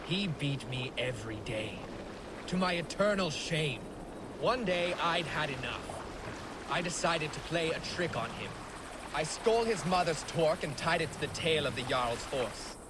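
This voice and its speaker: An actor playing a grim Viking raider, telling tales with brooding, deadpan dark humour in a game. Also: male voice, fairly high pitch. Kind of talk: dramatic oral storytelling. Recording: loud water and boat noise behind. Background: boat on water.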